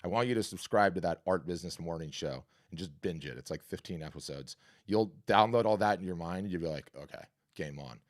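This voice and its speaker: A man hosting a live art business workshop and answering questions, talking in an energetic, conversational, salesy way with a scratchy, hoarse voice. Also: low-pitched, fairly quick. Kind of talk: live webinar talk and Q&A.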